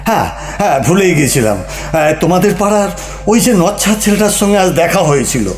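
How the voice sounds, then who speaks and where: A mocking husband acted by a man in a comic radio-style play, pitched high for a man. He argues and teases with exaggerated theatrical flourish. The recording is echoey, with music playing underneath. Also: lively intonation, brisk. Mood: teasing. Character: teasing, argumentative husband needling his wife. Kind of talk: Bengali comic audio play dialogue.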